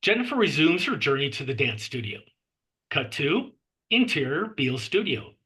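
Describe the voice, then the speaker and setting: A man's voice: fairly high pitch, big pitch swings, normal speed, pushed and bright, poor audio. A man reading stage directions as narrator at a script table read.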